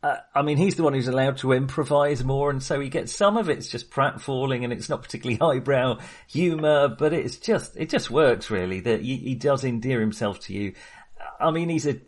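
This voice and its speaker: A man's voice: mid-range voice, a little fast. A man talking casually as a podcast host discussing films, enthusiastic but hesitant and rambling as he goes.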